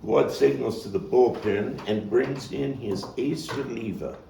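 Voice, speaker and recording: A man performing a theatrical stage monologue as a streetwise, nostalgic Brooklyn baseball fan, telling it with deliberate pauses and big swings in volume. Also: mid-range voice, vocal fry, dark tone. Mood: dramatic storytelling. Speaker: actor and playwright performing his own monologue. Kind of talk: dramatic stage monologue at a table read. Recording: roomy, distant mic with faint noise.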